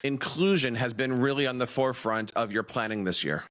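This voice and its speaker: A man hosting an education podcast, greeting listeners and interviewing a guest in an upbeat, animated way. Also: mid-range voice, fairly quick. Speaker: podcast host.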